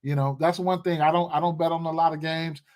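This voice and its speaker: Young male streamer, slightly raised pitch, loose slangy chat with many fillers, talking to a desk mic indoors. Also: little pitch movement, quick pace, darkish tone. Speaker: sports betting livestream host. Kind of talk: casual livestream commentary, reading chat. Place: indoor room.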